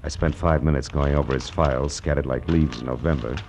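A man's voice: rumbling low, fairly even intonation, brisk. An actor playing a tough, sardonic male private detective in a 1950s radio drama, with clipped wisecracks. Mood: terse narration. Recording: muffled, noisy vintage radio recording.

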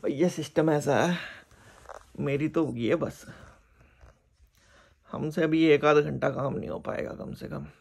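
A tired man mumbles through a casual vlog. His hoarse voice is husky and full of creak, as if he badly needs sleep. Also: a little high, fairly even intonation, unhurried, long pauses, darkish tone. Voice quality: husky, gravelly. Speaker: vlogger. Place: bedroom.

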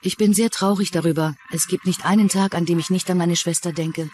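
Male actor as bereaved sibling in crime documentary, higher voice, some music behind. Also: steady tone, a little fast, a little mellow, natural voice. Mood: sad. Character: grieving, betrayed sibling of a murder victim. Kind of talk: documentary voice-over dub.

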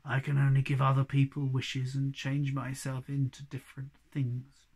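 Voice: medium pitch, monotone, moderate pace, gravelly, a little mellow, breathy edge. Storyteller as a kindly, courteous hobgoblin magician. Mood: regretful. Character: kindly, composed magician hobgoblin granting wishes.

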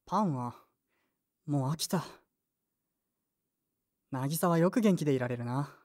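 A woman's voice: low-pitched, expressive, measured pace, darkish tone. A woman playing a brusque, moody teenage boy in an audio drama, with blunt, casual boyish speech. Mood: sullen, casual. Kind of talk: Japanese audio drama acting with inner narration.

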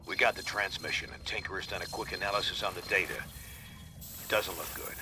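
Actor as a gruff, low-voiced American spymaster. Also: male voice, brisk, noisy background, natural voice. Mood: urgent, blunt. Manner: blunt, gruff, commanding. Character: gruff, commanding male spymaster, middle-aged. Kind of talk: video game cutscene acting.

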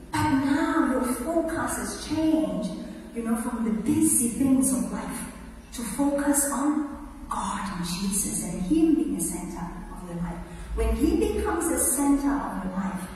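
A woman's voice: sits high, darkish tone. A woman preaching a conversational sermon at a church lectern, asking the congregation questions. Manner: conversational, rhetorical questions, emphatic. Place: large church sanctuary. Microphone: lectern mic. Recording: echoey hall from far off, faint noise.

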